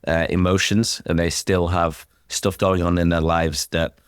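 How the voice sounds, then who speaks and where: Man, chaplain guest, low deep voice, conversational, dry recording. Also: little pitch movement, measured pace, clear, resonant tone, no echo. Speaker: sports chaplain, interview guest. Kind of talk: conversational interview.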